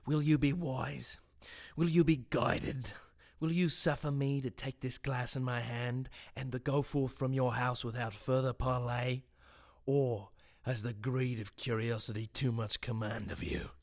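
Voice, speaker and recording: A man playing a sinister, taunting, monstrous villain in an audio drama, with slow, theatrical, grandiose delivery. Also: mid-range voice, steady tone, no room sound, studio quality, close to an everyday voice. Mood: menacing, tempting.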